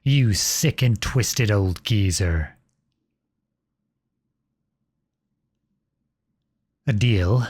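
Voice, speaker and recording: A man playing a protective, noble outlaw prince in an earnest, dramatic ASMR audio roleplay, with a soft, husky voice close to the mic. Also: fairly low pitch, expressive, slow, no room sound. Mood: defiant, bitter. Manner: earnest, dramatic, addresses unseen listeners directly. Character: outlawed young prince, protective, noble, devoted to his beloved. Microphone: close studio-style microphone, dry.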